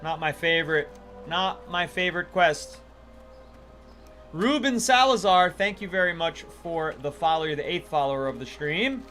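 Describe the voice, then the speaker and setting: A man's voice: on the high side, animated, normal speed, bright, forceful tone, soft background music. A man casually chatting on a gaming livestream, playful and talkative, thanking viewers as he plays. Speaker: livestream gamer.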